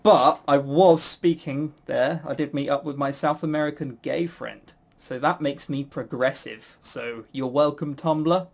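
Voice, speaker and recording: Higher-voiced man in rambling, jokey banter. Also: fairly even intonation, unhurried, creaky, hardly any pauses. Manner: rambling, jokey, self-mocking, swears casually. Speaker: podcast co-host and quizmaster. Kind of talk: casual banter.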